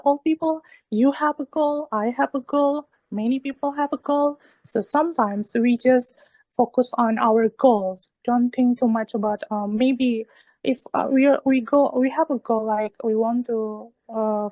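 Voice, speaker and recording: A woman's voice: on the high side, darkish tone, dry recording, poor audio. A woman from Indonesia with an Indonesian accent, a native Indonesian speaker, talking earnestly and encouragingly in an online discussion, often repeating her phrases. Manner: hesitant, repetitive phrasing in earnest, encouraging talk. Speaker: English learner in an online discussion group. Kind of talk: motivational discussion in an online meeting.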